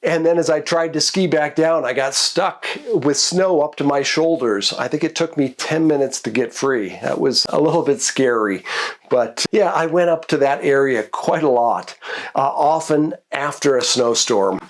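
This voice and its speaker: A man, a nature photographer and host of his own channel, sounds congested from a cold as he tells the stories behind his photos in a relaxed, reflective way. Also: on the high side, normal speed. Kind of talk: casual narrated photo recap.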